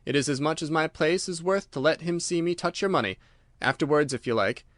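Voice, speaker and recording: A man's voice: on the high side, fairly quick, fairly bright tone, no echo, clean studio sound, close to an everyday voice. A man voicing a wary but obliging stable groom, deferential and guarded, in a storybook reading. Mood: obliging, nervous.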